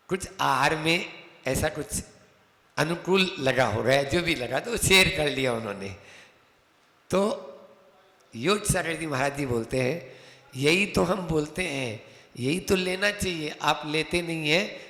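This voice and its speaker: Slow, echoing male monk lecturing. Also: fairly high pitch, fairly bright tone. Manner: storytelling, chants verses slowly. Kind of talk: sermon lecture with anecdotes. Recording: echoey hall reverb.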